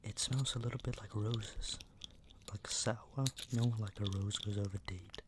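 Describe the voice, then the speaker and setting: A man hosting an ASMR video, chatting casually in an offhand, soft-spoken way that sometimes drops to a whisper. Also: lowish voice, steady tone, normal speed. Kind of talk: ASMR, casual product chat. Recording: clear background noise, tapping and clicking sounds.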